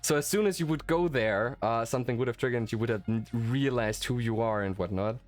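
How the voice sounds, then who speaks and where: A man's voice: medium pitch, brisk. A man who is a native German speaker chats casually as game master and host after a game, talking in a rambling, enthusiastic way. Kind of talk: casual post-game chat. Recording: faint music behind the voice. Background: faint background music.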